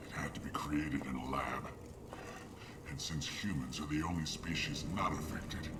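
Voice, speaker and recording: An actor playing a bitter, dying plague-stricken alien in a video game, sick and weak, with a breathy rasp and formal, accusing speech. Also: male voice, rumbling low, roomy sound, recorded from a distance. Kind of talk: video game character acting.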